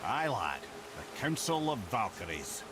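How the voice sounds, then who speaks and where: A man's voice: on the low side, big pitch swings, measured pace. Video game companion: older Scottish male sage, swooping lilt, wry and theatrical, noisy backdrop. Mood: knowing. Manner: lilting, theatrical, savouring old lore. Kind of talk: dramatic storytelling, video game dialogue.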